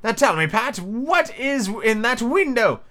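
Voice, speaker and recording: Man as storybook rabbit master, very high pitch, bossy, irritable, scolding. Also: very animated, unhurried, sharp tone, heavily acted. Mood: angry, scolding. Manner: imperious, scolding, exclaiming.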